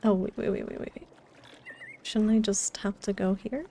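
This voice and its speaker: A woman's voice: mid-range voice, steady tone, normal speed, dark tone, slight background noise. A woman giving casual gameplay commentary as a streamer, musing aloud in short reactions. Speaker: game streamer.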